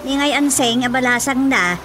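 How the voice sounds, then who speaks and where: A woman's voice: high voice, steady tone, moderate pace, over music, close to an everyday voice. A woman acting a gossipy, complaining villager in an Ilocano radio drama comedy, tattling and wailing with an Ilocano accent. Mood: gossipy, urgent.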